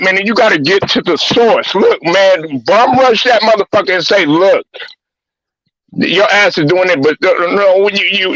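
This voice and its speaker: A man with a strikingly high voice rants bluntly and heatedly, hammering the same phrases again and again. The sound is dull and lo-fi, close and dry with no room around it. He delivers it as a host riffing off the cuff. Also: a bit bright, dry recording. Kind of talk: profane sports-talk rant.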